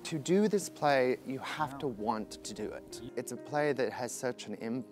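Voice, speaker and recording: A man's voice: medium pitch, lively intonation, a little slow. A man speaking reflectively in an interview. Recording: music behind the voice.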